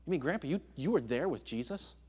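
A pastor voicing a curious young grandchild who keeps asking questions. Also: male voice, on the high side, animated, fast, darkish tone, slightly rough. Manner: eager questioning.

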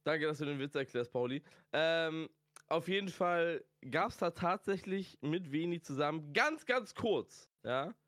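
A man's voice: on the high side, animated, unhurried, a bit bright, dry recording, clean studio sound. A man chatting casually and telling slangy anecdotes as a podcast guest. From northern Germany. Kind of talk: casual chat.

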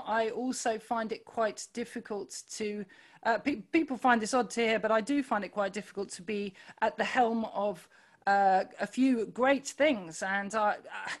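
A woman with a loud voice, talking earnestly in an interview, pausing often with fillers and restarts. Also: a little high. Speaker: podcast guest, running club founder. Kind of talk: reflective conversational interview.

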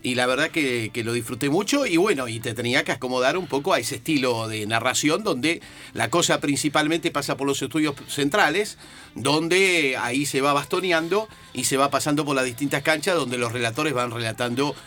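A man's voice: mid-range voice, fairly quick, sharp tone. A man with an Argentine accent, a football commentator, chatting as a guest in a radio interview and often adding little tag questions. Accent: Argentine Spanish. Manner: talkative, repeats words and adds tag questions. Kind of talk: relaxed radio interview chat.

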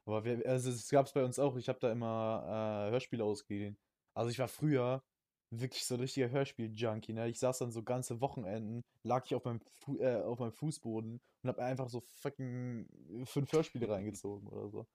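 Young guy just chatting on a podcast, slangy, rambles and repeats himself, mid pitch, super dry sound. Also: steady tone, fairly quick, mellow, no echo. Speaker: podcast co-host. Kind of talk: casual chat.

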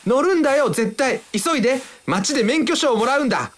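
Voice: high voice, lively intonation, fairly quick, a little hiss or hum. An actor playing a nagging companion in a radio drama, insistent and coaxing. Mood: urging.

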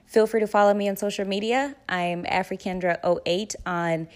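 A woman's voice: mid-range voice, fairly even intonation, normal speed, no room sound. Young woman from East Africa, Luganda her first language, upbeat podcast guest, dry close sound. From East Africa (Uganda, Rwanda). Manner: upbeat, fillers, laughs often. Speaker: podcast guest, doctoral student. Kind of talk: casual interview chat.

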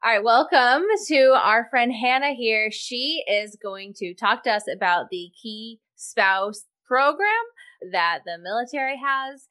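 A woman's voice: a little high, unhurried, bright, forceful tone. A woman from Maine hosting a podcast interview, upbeat and encouraging, with frequent agreeing murmurs. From Maine, USA. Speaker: podcast host.

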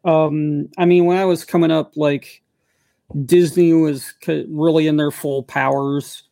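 A man in his forties from the United States West Coast, co-hosting a podcast and telling stories in relaxed casual chat. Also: fairly high pitch, a little slow, gravelly, no room sound. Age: 40s. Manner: relaxed storytelling, agreeable. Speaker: podcast co-host.

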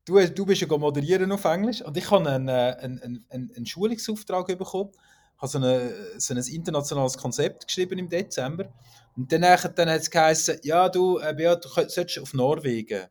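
A man with a Swiss German accent, co-hosting a podcast and telling stories in a lively way, with frequent stumbles. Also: fairly high pitch, a little fast. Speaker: podcast co-host. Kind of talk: casual chat.

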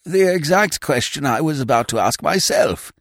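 A man's voice: a little high, expressive, normal speed, no room sound, clean studio sound, voice pushed up. A man voicing a vague, flustered elderly earl who blurts startled exclamations. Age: older.

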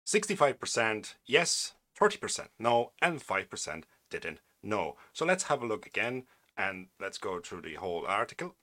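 Male podcast host, 40s, non-native English speaker, lowish voice, sharp delivery, opinionated commentary. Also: big pitch swings, moderate pace. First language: not English. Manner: opinionated, wry, with frequent restarts and asides. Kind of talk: casual commentary reading a news article aloud.

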